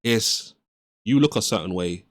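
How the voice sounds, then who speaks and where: A man commenting on a clip in an earnest, moralizing and measured way. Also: lowish voice, lively intonation, no echo, studio quality. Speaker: reaction commentator. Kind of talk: reflective reaction commentary.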